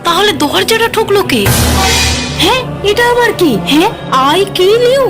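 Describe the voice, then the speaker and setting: A woman's voice: very high voice, expressive, a little slow, exaggerated voice. A woman voicing a flustered, easily startled cartoon character with jittery exclamations. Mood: puzzled. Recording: loud music behind the voice.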